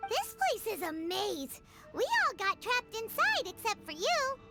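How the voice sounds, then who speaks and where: A woman voicing a tiny, perky, curious fairy companion in a game, with a squeaky, childlike voice. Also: big pitch swings, a little slow, over loud music, exaggerated voice. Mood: curious, puzzled. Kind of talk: scripted game cutscene dialogue.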